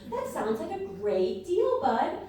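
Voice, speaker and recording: A woman's voice: sits high, huge pitch swings, takes it slowly, dark tone, exaggerated voice. A woman playing a chirpy, eager radio station host who presents and exclaims in a live comedy radio play. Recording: echoey live hall, distant mic.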